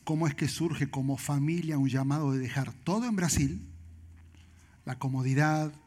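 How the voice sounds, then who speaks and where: A man with a Rioplatense accent, a pastor interviewing a guest in church, asking questions with slow drawn-out phrasing. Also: fairly high pitch, a little mellow.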